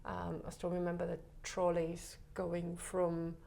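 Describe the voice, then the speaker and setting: A woman speaks in a fairly low, level voice that barely rises or falls. She talks softly and hesitantly, pausing often and filling gaps with small sounds, as a guest in a relaxed interview. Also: gravelly. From Czech Republic. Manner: soft, hesitant, many pauses and fillers. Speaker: photographer guest.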